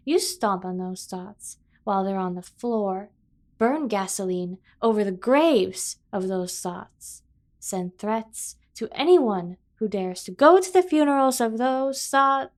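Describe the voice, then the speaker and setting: A woman's voice: mid-range voice, animated, slow. A woman voicing an obsessive, protective yandere sibling in an ASMR roleplay, speaking with earnest, over-the-top devotion. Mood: fiercely protective. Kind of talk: scripted ASMR roleplay addressed to the listener.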